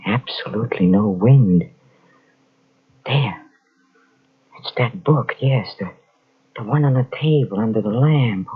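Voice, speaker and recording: An actor playing a haunted Frenchman descending into madness in an old radio horror drama, halting and melodramatic, with repeated broken phrases. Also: fairly low pitch, slightly rough, heavily acted. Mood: fearful awe. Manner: halting, breathless repetitions, melodramatic. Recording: muffled old radio drama cassette transfer.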